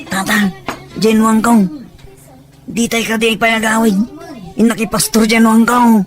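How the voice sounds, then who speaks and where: A man's voice: sits high, little pitch movement, measured pace. A man with a Filipino accent playing a timid, lovesick young village suitor in an audio drama, speaking meekly and hesitantly. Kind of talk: Ilocano audio drama acting. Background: background music.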